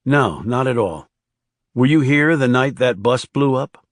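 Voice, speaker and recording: Narrator doing a calm fed in a thriller audiobook, direct and reassuring, mid pitch, dry. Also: male voice, unhurried, dry recording, lightly acted. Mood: calm, probing. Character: calm, steady male government agent.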